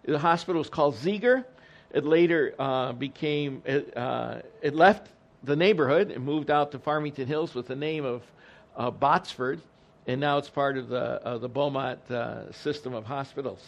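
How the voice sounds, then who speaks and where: A man's voice: mid-range voice, normal speed, vocal fry. A man in his sixties, a retired pastor whose first language is Spanish, speaking to a congregation in a chatty, self-deprecating way. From Detroit, USA. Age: 60s. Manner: conversational and self-deprecating, frequent ums and restarts. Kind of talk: informal talk to a congregation.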